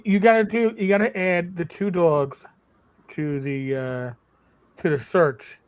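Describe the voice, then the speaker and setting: A man with a notably high speaking voice, talking loosely on a podcast. He rambles and restarts his sentences, laughing easily as he tells stories from running games. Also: fairly even intonation, a little slow, smooth. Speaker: game master and channel host. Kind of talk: casual podcast chat.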